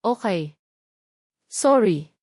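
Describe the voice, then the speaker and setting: A woman voicing a kind, wealthy young man who asks soft, hesitant questions in a storybook drama. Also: medium pitch, lively intonation, drawn-out words, dry recording, studio quality. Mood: gentle.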